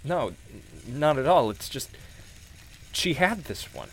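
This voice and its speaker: A man playing a grieving widower and recovering alcoholic in a radio play, stammering and halting with emotion. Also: medium pitch, big pitch swings, a bit bright, husky, full character voice, low-quality recording. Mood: stunned, awed.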